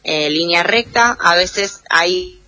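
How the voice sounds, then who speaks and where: A woman's voice: fairly low pitch, slow pace, sharp tone, no room sound. A woman born in the United States, an athlete guest speaking by phone on a radio show and explaining her sport in a friendly way. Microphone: phone call over messaging app. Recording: phone line that cuts out.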